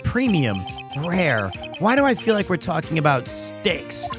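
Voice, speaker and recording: A man's voice: on the high side, huge pitch swings, a little slow. Man reading as a wry young male lawyer. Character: earnest, easily exasperated young male lawyer. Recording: music behind the voice.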